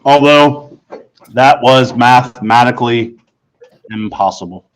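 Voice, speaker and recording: A man's voice: mid-range voice, a little slow, smooth, low-quality recording. A man narrating a first-person audiobook story in a formal, precisely worded manner. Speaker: audiobook reader.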